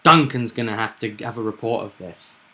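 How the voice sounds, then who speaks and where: A man's voice: on the low side, fairly quick, pushed and bright. A man hosting as game master, chatting casually about a tabletop roleplaying game and often repeating himself with tag questions.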